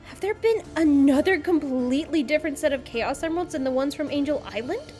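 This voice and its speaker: Woman voicing a high-pitched, earnest young cartoon inventor. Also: expressive, brisk, a little mellow, faint music behind. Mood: amazed, explaining. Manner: eager, expository, earnest. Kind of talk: animated fan-dub dialogue.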